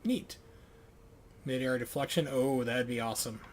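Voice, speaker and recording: Man streaming, reading tutorials aloud haltingly. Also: mid-range voice, a little hiss or hum. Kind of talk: thinking aloud while reading game tutorials.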